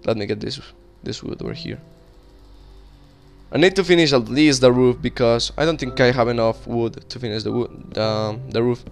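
A young man with a Colombian Spanish accent talks loosely over his gameplay, drifting between stories and what he is building. His voice is smooth and clear, sitting at an ordinary pitch. He restarts sentences often, in an easygoing, conversational way. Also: moderate pace, faint music behind. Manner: rambling and chatty, talking while playing, frequent restarts. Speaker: gaming video creator. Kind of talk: casual gameplay commentary.

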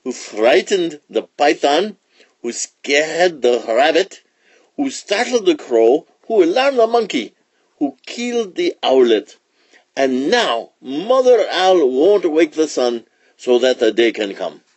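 A man voicing a stern, commanding lion king in a storybook, declaiming deliberately as judge. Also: a little high, very animated, a little mellow, slightly rough, dry recording, voice pushed up, full character voice. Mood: proclaiming. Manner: declamatory, deliberate, building through lists.

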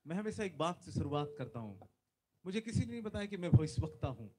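A man's voice: a little high, sing-song, quick pace. A man in his forties, a visiting preacher giving a sermon with prayer on a large conference stage into a handheld mic, fervent and emphatic, often calling out hallelujah. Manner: fervent, repeats hallelujah, loud emphatic bursts. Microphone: handheld microphone.

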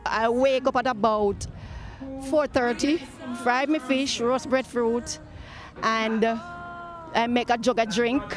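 A woman's voice: on the high side, steady tone, takes it slowly, over music. A woman with a Jamaican accent telling her story casually in folksy, patois-tinged interview speech. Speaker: interviewee, member of public.

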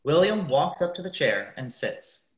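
A man's voice: fairly high pitch, sing-song, unhurried. A man narrating stage directions in an amateur radio play. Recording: roomy, echoing sound.

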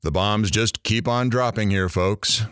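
A man's voice: on the low side, measured pace, a bit bright, clear, resonant tone, dry recording, studio quality. A man playing a brash, wisecracking morning radio host in an audio drama, with sarcastic, theatrical delivery. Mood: teasing, theatrical. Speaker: radio talk show host.

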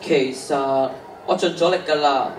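A man's voice: on the high side, moderate pace, natural voice. A teenage boy with a Hong Kong Cantonese accent playing a troubled schoolboy hiker in a radio drama. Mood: downcast. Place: stage of a large hall. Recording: echoey stage, distant mic, faint noise.

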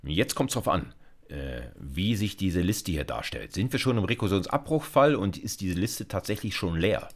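A man's voice: low-pitched, big pitch swings, normal speed. A middle-aged man giving an informal programming lecture, explaining step by step and addressing listeners directly in a conversational way. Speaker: university lecturer. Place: indoor recording setup, screencast lecture.

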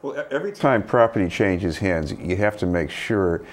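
An older man interviewing a guest in a TV studio, halting and conversational, often repeating words, recorded on a lapel mic. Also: deep voice, animated. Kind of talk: news interview questions. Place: TV news studio.

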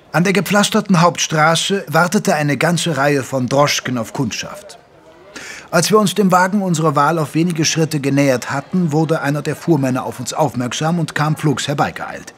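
An actor as the narrator of a German audio drama, telling the story in a measured, literary way. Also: male voice, on the high side, slight background noise, lightly acted. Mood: measured, descriptive. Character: detective's companion narrating the tale. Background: music.

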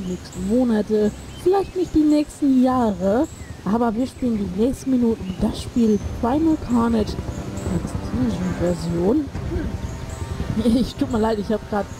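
A woman's voice: on the high side, animated, unhurried, slight background noise, poor audio. A woman casually commenting on an online game she is playing, a bit sick and short of breath, rambling and correcting herself with jokes. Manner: rambling, self-correcting, joking. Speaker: gameplay streamer. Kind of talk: casual let's play commentary. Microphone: desk microphone in a new recording room. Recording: voice over loud game music.

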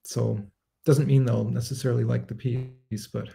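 A reflective man in his thirties speaks at his desk in a book-lined home study, picked up by his webcam on a livestream. His voice is dark and mellow, with a gravelly fry at the ends of phrases. He answers viewers' questions in a measured, unscripted way, with fillers and self-corrections as he thinks aloud. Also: mid-range voice, level tone. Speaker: composer hosting a livestream Q&A. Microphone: webcam framing at desk, livestream.

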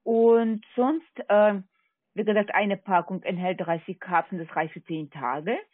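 A non-native speaking woman with a foreign accent, working as a call center agent, giving a halting, repetitive, polite sales pitch over the phone. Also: fairly even intonation, no echo. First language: not German. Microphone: telephone. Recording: tinny, narrowband phone line.

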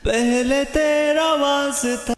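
A woman sings a devotional-style melody very slowly in a fairly high register. Her pitch-corrected voice rings with long reverb over faint music. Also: fairly even intonation.

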